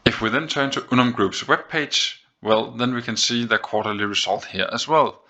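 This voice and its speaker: A man's voice: medium pitch, moderate pace, sharp tone. A man hosting a finance video, explaining figures on screen in a methodical, step-by-step way. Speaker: host of an investing video series.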